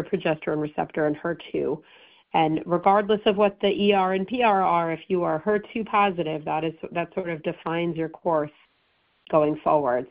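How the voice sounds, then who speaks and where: A hoarse woman doctor explains treatment options in an informative way, with frequent ums and false starts. Her voice is low and gravelly. The sound is thin and phone-like. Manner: informative, many ums and restarts. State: hoarse, recovering. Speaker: breast oncologist presenting. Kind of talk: medical presentation to patients. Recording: tinny phone-line audio.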